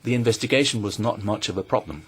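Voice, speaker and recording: A man giving measured, formal testimony to a committee, pausing often and hesitating between phrases. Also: on the low side, a little hiss or hum. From Norway. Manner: measured, deliberate, with frequent pauses and hesitations. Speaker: invited speaker at a parliamentary hearing.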